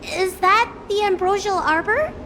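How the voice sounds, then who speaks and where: A woman's voice: extremely high pitch, unhurried, background noise. A woman voicing a small dragon girl in a video game, a frank and curious young elder with a playful, childlike manner. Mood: cheerful, curious.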